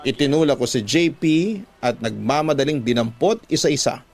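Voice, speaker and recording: A man's voice: medium pitch, moderate pace, a little mellow, soft background music. A man with a Filipino accent reading a listener's love letter as a scripted first-person radio narration.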